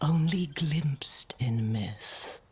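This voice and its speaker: A woman playing a solemn, commanding, darkly seductive demon queen in a video game, with a breathy, whispery delivery. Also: deep voice, lively intonation, a little mellow, full character voice. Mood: solemn, ominous, seductive. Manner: slow, solemn, portentous delivery. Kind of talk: video game villain voice lines.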